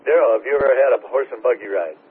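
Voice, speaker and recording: A hoarse man acting as net controller, briskly thanking callers and calling the next station. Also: mid-range voice. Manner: brisk, routine call-and-thank pattern. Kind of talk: running a radio check-in net. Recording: narrow radio transmission with hiss.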